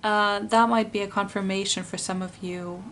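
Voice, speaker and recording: A woman from Finland with a Finnish accent, doing a pick-a-card tarot reading in English, her second language, in a soft, earnest way, often stopping to rephrase. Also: mid-range voice, steady tone. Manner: slow, searching, rephrases often.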